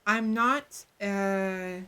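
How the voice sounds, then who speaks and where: A woman streamer chatting casually in a soft, hesitant voice with a gravelly vocal fry, talking close to her microphone. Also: mid-range voice, steady tone, extremely slow. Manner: soft, hesitant, self-reflective, many restarts. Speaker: VTuber streamer. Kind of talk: casual chat while livestreaming a game. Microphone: close desk mic.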